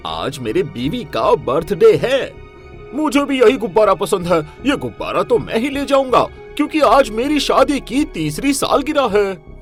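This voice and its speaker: A man playing an excitable village man in an animated story, frantic and pleading. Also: high-pitched, unhurried, soft background music. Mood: stubborn, arguing. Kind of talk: animated moral story voice acting.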